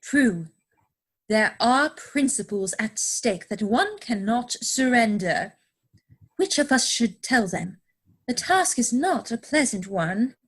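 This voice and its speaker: A poised young lady of society, played by a woman, speaks slowly in a high voice with sweeping melody. She is affected and dramatically earnest, as in a period comedy reading. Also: expressive, breathy edge, full character voice. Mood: solemn.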